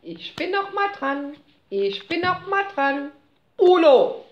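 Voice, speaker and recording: A woman's voice: extremely high pitch, sing-song, takes it slowly, dull audio. A mother playing cards with her child at home, off camera, chatting in a playful, teasing, mock-indignant way. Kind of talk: casual family chat during a card game. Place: home room at a table, off camera. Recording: echoey room, faint noise.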